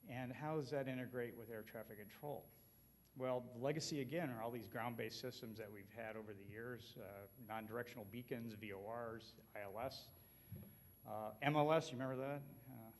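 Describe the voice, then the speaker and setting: A man with an American accent giving an informal conference presentation, speaking conversationally with frequent filled pauses. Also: medium pitch, a little hiss or hum. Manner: conversational, colloquial.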